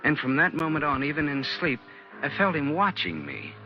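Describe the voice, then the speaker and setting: An actor playing a haunted, fearful fugitive in an old-time radio drama, speaking in a hesitant, troubled, confessional way. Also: male voice, a little high, big pitch swings, normal speed. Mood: brooding, haunted. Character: haunted, fearful fugitive man hiding among sailors. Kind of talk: old-time radio drama acting with first-person narration. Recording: muffled vintage radio recording, loud music.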